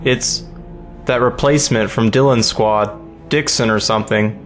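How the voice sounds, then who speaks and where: An actor playing a watchful American soldier on patrol, plain-spoken. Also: male voice, lowish voice, measured pace, soft background music, natural voice.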